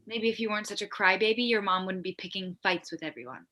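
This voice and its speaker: An actress as a blunt, bright-voiced angry teenage girl. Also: mid-range voice, fast talker, close to an everyday voice. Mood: scornful. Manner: accusing, casual teen phrasing. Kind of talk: comedy script table read.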